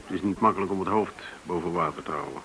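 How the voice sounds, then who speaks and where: A creaky, low-voiced middle-aged businessman. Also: quick pace, crowd noise. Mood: confiding, tentative. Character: Dirk Norman, courteous male businessman living off share sale. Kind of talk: naturalistic radio drama acting.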